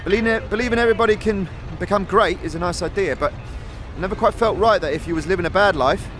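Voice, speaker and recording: A man's voice: high-pitched, fast talker, a bit bright, a little hiss or hum. A man playing a friendly, chatty young apprentice smith in a fantasy audio drama, speaking in colloquial slang with hesitant starts. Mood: easygoing, chatty.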